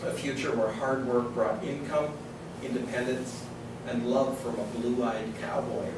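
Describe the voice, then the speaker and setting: A man's voice: mid-range voice, fairly even intonation, a little slow, distant mic. Man in a very echoey, noisy room. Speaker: event host introducing an author. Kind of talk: informal introduction, then reading a book blurb aloud. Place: indoor public reading event, far from the mic.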